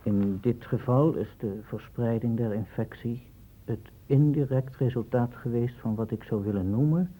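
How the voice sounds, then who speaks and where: An actor playing a formal, deliberate official reading out a report in a Dutch radio play. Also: male voice, on the low side, mellow, breathy edge, full character voice. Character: formal, measured male official dictating a report. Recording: faintly noisy old radio recording.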